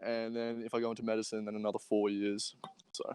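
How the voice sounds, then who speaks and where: A man's voice: fairly low pitch, completely flat delivery, fast talker, darkish tone, clear, resonant tone, no room sound. A young man chatting casually on a group podcast call, with loose, slightly hesitant phrasing. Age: young adult. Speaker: podcast co-host. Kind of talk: casual chat. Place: remote group online call.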